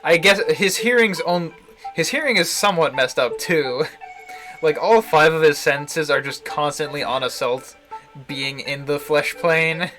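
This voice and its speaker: Bright, wry man commenting on a game. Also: a little high, a little slow, poor audio. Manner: amused, wry, frequently chuckling. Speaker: streamer playing and commenting on a visual novel. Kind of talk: casual live game commentary. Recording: music playing behind the voice. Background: visual novel music and Japanese voice acting.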